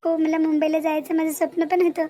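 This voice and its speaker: Woman playing a very high-voiced young Marathi village girlfriend. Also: flat delivery, fast talker, dark tone, a little hiss or hum. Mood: earnest. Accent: Vidarbha Marathi. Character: dreamy young village woman eloping with her sweetheart. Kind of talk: amateur cartoon dialogue.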